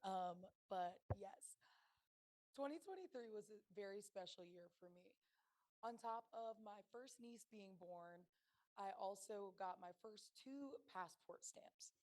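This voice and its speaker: Raspy-voiced woman telling a travel story. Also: mid-range voice, slow pace, a little mellow, low-quality recording. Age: late 20s. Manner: chatty, self-deprecating storytelling. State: voice strained from singing. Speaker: club speaker. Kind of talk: prepared personal story speech.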